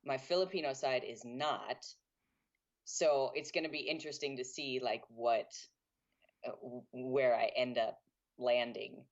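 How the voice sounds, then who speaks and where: A young man talks candidly about himself over a muffled, lo-fi call line. He is frank and easygoing, quick to poke fun at himself, like a guest relaxed in a casual chat. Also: mid-range voice. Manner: frank and open, laughs easily, self-deprecating. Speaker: guest, guitarist and guitar teacher. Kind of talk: candid casual interview talk.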